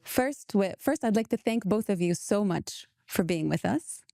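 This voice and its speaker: Mellow-voiced woman hosting an interview. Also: medium pitch, expressive, moderate pace, gravelly, dry recording, clean studio sound. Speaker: podcast host. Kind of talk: conversational interview.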